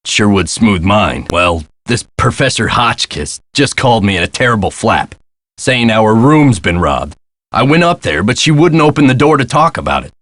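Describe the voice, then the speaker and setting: An actor playing a gruff, put-upon castle hotel caretaker in a video game, with a folksy, sardonic and exasperated way of talking. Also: male voice, lowish voice, expressive, unhurried, a bit bright, no room sound. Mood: harried. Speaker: caretaker and front desk clerk. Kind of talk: scripted video game character lines.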